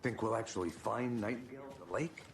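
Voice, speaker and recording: A man's voice: on the low side, fast, gravelly, background noise. A man playing a dry, world-weary federal agent in a video game, terse and sardonic. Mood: businesslike. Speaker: FBI agent.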